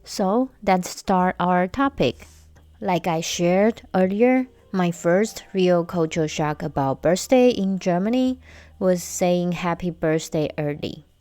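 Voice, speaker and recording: A young woman hosts her own podcast, telling personal stories with gentle humor. She talks at an unhurried, deliberate pace in a slightly low voice. The sound is dry, with faint music underneath. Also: no room sound. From Taiwan. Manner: slow, measured storytelling with light humor. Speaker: podcast host. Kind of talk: casual solo podcast chat.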